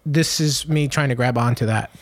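A man's voice: mid-range voice, normal speed. A man in his late thirties co-hosting a casual podcast, rambling with lots of fillers and restarts. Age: 30s. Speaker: podcast co-host.

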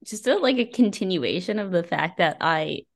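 A woman's voice: fairly low pitch, lively intonation, moderate pace, gravelly. A woman co-hosting a book podcast, giving opinions in casual, exclamatory talk peppered with "like". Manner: informal, exclamatory, frequent "like".

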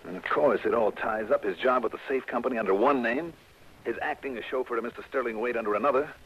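An actor with an American accent playing a brisk, insistent insurance investigator in a 1960s radio drama. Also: male voice, mid-range voice, husky, close to an everyday voice. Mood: shrewd, pressing. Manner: clipped, insistent questioning. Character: brisk, hard-driving male private insurance investigator. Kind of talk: 1960s radio drama acting with first-person narration. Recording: old muffled radio recording with hiss.

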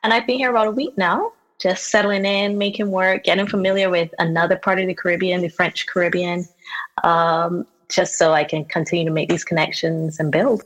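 A woman's voice: fairly low pitch, steady tone, a little fast, a bit bright, poor audio. Thoughtful woman from Barbados. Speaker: podcast guest, visual artist. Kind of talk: casual conversation. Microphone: remote recording from home setup.

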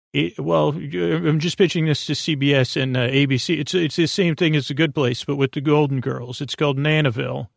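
An American man hosting a sleep podcast, rambling in a halting, dreamy, whimsical monologue that meanders along. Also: mid-range voice, vocal fry, no echo, clean studio sound. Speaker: podcast host. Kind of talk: meandering bedtime monologue to help listeners sleep.

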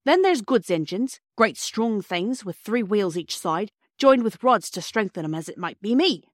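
A woman's voice: mid-range voice, expressive, normal speed, no room sound, studio quality, close to an everyday voice. Woman doing a rustic old railway porter. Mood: explaining. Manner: broad rustic dialect. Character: cheerful working-class old railway porter.

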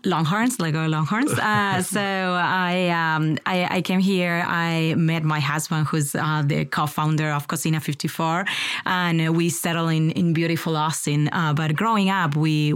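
A woman's voice: low-pitched, no room sound, clean studio sound. A woman from Argentina, a podcast guest talking casually, with many fillers and repeated words. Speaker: food company co-founder, guest. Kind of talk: casual interview.

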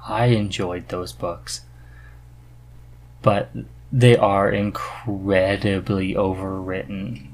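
A man hosting a podcast in casual chat, halting as he searches for words. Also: low-pitched, little pitch movement, a little hiss or hum. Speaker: podcast host.

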